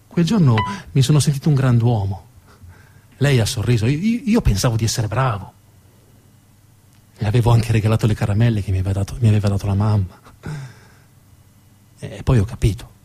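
A man playing a naive, proud eighteen-year-old boy in a stage monologue, confiding in a boyish, halting way. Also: lowish voice, lively intonation, mellow, husky, pitched below the performer's own voice. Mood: bashful pride. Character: Pierino, boastful naive eighteen-year-old boy recalling his first kiss.

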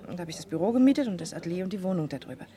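An actress playing a lively, independent young businesswoman in a German radio play, chatty and playful. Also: on the low side, very fast, close to an everyday voice. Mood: animated, modest. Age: young adult. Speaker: actress in a radio crime drama. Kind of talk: German radio play acting, conversational dialogue.

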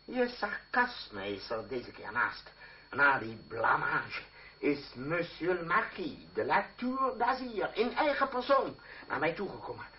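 An actor playing a pompous, irate boss of a theatre company, indignant and declamatory, in a muffled old radio play. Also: male voice, fairly high pitch, sing-song, unhurried, recorded from a distance, slight background noise. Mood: blustering. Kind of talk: radio drama acting in Dutch.

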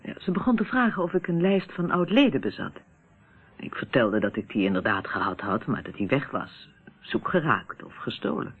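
A polite, uneasy wife in a radio drama. Her voice is husky with lively swings in pitch, hesitant and guarded. It sounds boxed-in, like an old narrow-band broadcast. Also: normal speed, exaggerated voice. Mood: apologetic. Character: polite, uneasy, evasive married woman. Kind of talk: radio play acting.

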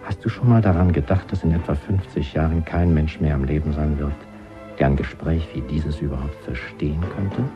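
An actor playing a zealous party intellectual in a radio drama, lecturing in a deliberate, emphatic way. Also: male voice, extremely low pitch. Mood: coldly fervent. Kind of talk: German radio drama acting. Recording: dull lo-fi radio recording, music behind.

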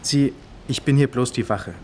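An actor playing a timid, evasive young police guard in a radio drama, with a Bavarian accent and a plain, hesitant manner. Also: male voice, mid-range voice, normal speed, darkish tone, close to an everyday voice. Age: young adult. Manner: plain-spoken, hesitant, defensive. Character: timid 21-year-old male police guard, evasive, dutiful. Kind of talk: naturalistic radio drama dialogue. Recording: clearly noisy ambient background.

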